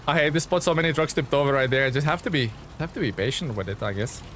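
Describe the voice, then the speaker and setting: A man chats easily with his live-stream viewers, his voice sitting at an ordinary middle pitch. He rambles a little, stopping and restarting with plenty of "uh"s. A faint hiss sits under the recording. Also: fast. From Lithuania. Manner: relaxed, chatty, many fillers and restarts. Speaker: game streamer. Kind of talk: casual live-stream chat reading viewer comments.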